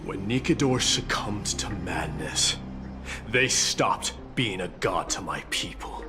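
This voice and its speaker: A stern warrior man in a fantasy game. His low voice is proud and haughty, edged with wrath, over clear game music. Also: lively intonation, takes it slowly. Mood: proud, grim.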